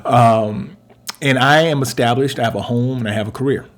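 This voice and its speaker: A man's voice: mid-range voice, normal speed. Man musing aloud with many fillers. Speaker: relationship coach, co-host. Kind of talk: casual conversational chat.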